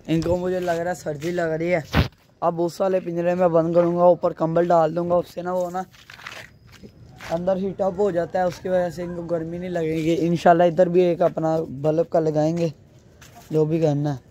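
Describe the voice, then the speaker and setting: A young man with a hoarse throat chatting casually as a vlogger, rambling loosely as he shows things around an outdoor rooftop while filming on a handheld phone. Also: a little high, level tone, normal speed, mellow, slight background noise. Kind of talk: casual vlog chat.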